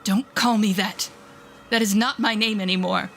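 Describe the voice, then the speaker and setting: A woman playing a telepathic supervillain in an audio roleplay, a bitter but protective mentor with a sardonic, deliberate manner. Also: mid-range voice, fairly even intonation, a bit bright, a little hiss or hum, natural voice. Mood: bitter, accusing. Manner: sardonic, deliberate, shifting to soothing whispers. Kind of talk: dramatic audio roleplay acting.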